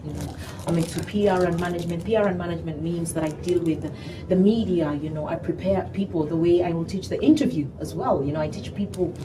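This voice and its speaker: A young woman with a Ugandan accent talks animatedly about her music in an interview. Her voice sits slightly low. She is picked up from some distance, with noticeable background noise. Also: fast talker, few pauses. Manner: animated, sprinkled with "you know". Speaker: gospel singer and guest. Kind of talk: interview conversation. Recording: distant mic, noisy background.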